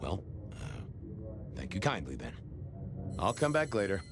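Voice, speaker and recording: A man's voice: low-pitched, wildly swooping pitch, unhurried, noisy background, full character voice. An actor playing an earnest, insistent vampire lawman in a video game, folksy and polite in his phrasing. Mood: deflated. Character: earnest, urgent vampire lawman warning of a killer.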